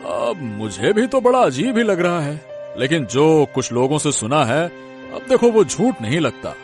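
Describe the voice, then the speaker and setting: Village landowner father for a cartoon, male actor: proud, touchy, very animated delivery over faint music. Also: fairly high pitch, measured pace. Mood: puzzled. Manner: pompous, easily offended. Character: proud, domineering middle-aged village rich landowner father.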